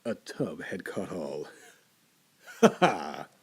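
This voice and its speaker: A man giving a theatrical reading of a classic horror tale, dropping to hushed whispers and building to intense outbursts. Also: sits low, very animated, slow pace, creaky, husky. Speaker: storyteller.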